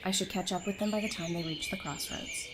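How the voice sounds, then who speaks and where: A woman's voice: on the low side, steady tone, brisk, background noise, close to an everyday voice. A woman playing a friendly, level-headed survivor and peacemaker in an audio drama, conversational and earnest. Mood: casual.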